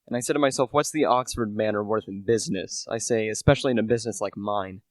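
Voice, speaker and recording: Low-voiced humble salesman played by a young man. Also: fast talker, dry recording, clean studio sound, natural voice. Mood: confiding. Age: teenager. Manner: hesitant, self-effacing, confiding. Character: humble, deferential small-time relish salesman narrating his tale. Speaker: high school drama student. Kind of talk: amateur radio play acting.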